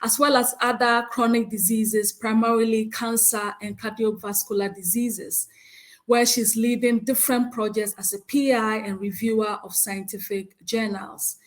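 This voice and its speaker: A woman with a Ghanaian accent chairs a conference session and reads out a speaker's biography, with frequent hesitant pauses. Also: a little high, level tone. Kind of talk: conference moderation, reading a speaker bio.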